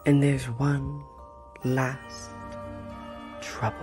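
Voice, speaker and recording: A man's voice: medium pitch, level tone, a little mellow. A man narrating a horror adventure game dramatically, drawing out his phrases for suspense. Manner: slow, suspenseful, drawn-out phrases. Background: background music.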